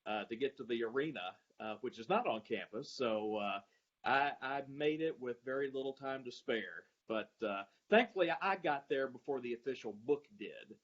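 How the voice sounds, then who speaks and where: Halting man on dull lo-fi audio. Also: medium pitch, lively intonation. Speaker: guest, arena public address announcer. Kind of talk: conversational interview answers.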